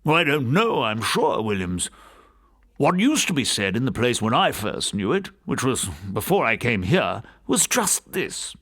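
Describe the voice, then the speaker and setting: A man voicing a talkative, genteel English gentleman scholar who rambles conversationally through old local gossip in an audiobook. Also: mid-range voice, sing-song, a little slow, full character voice. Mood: reminiscing, musing.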